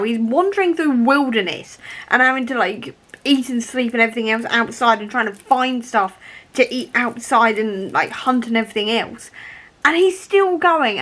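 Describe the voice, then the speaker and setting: Higher-pitched woman chatting casually about books. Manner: animated, emphatic, repeats key phrases for stress. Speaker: book vlogger. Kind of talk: casual reading vlog chat.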